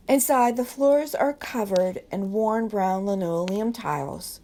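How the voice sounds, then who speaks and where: A woman reading stories aloud from a book as a storyteller, with measured, deliberate phrasing. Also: fairly high pitch, a little mellow.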